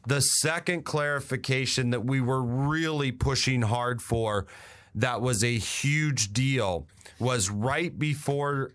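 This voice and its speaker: A man's voice: mid-range voice, a bit bright, smooth. An American man hosting a radio show, explaining industry updates conversationally with emphatic repetition. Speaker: radio show host and real estate agent.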